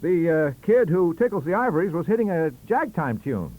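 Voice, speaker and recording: Man playing a high, blustering, boastful professor. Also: big pitch swings, moderate pace, narrow, tinny audio. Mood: eager. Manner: blustering, stammering, emphatic. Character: excitable, boastful male Canadian professors squabbling loudly.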